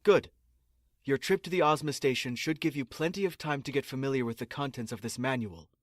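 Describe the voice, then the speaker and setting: A man's voice: medium pitch, a little fast, no room sound, clean studio sound, lightly acted. A man playing an exasperated, officious assistant in a sci-fi comedy audio drama, formal, sarcastic and condescending. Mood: stern, lecturing. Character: exasperated, officious male assistant prepping space recruits.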